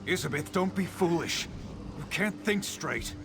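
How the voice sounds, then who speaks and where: A middle-aged man voicing a stern, proud military captain and protective father in a video game, with a commanding, forceful delivery. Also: high voice, animated, measured pace, gravelly, heavily acted. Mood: alarmed. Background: game music.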